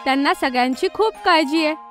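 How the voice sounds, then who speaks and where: A woman's voice: high voice, quick pace, lightly acted. A woman voicing an earnest, kind young schoolgirl who likes to organise, in a children's cartoon story. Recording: music behind the voice.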